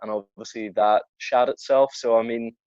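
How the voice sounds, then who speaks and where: A teenage guy co-hosting a casual film podcast, with an average-pitched voice on a dull, dry recording. He talks bluntly, swears freely and stumbles over repeated words as he works out his opinion. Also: steady tone, normal speed, a little mellow, no echo. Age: teens.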